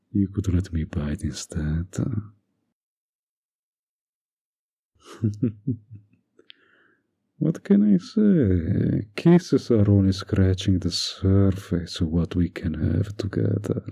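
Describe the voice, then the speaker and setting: Man acting a husky, low, seductive villain. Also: dark tone, heavily acted. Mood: playful, teasing. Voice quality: husky, breathy, often near a whisper. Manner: slow, teasing, seductive, insinuating. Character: flirty, manipulative male fantasy villain seducing a hero. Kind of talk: romantic audio roleplay acting.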